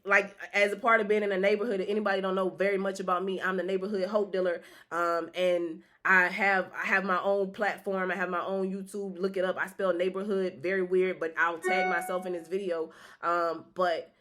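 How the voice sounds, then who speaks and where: A woman's voice: lowish voice, level tone, brisk, fairly bright tone. Woman rambling through a casual live stream. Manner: rambling and loose, many restarts, casual swearing. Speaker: livestream host reading tarot cards.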